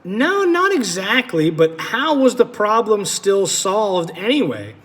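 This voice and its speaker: A man teacher reading a picture book aloud to children with animated storytelling, then asking them questions about it. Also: high voice, husky. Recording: echoey room with long reverb.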